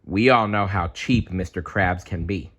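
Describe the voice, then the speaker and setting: A man reading a children's storybook aloud in an expressive, playful way, with chatty asides. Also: low-pitched. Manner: animated, unhurried, playful.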